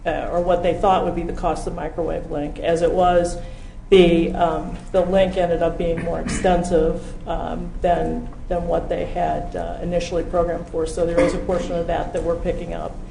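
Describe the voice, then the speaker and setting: Flat-voiced American woman, halting, echoey room. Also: lowish voice, vocal fry. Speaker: county official introducing a presentation. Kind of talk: informal public meeting briefing. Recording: echoey room with background noise.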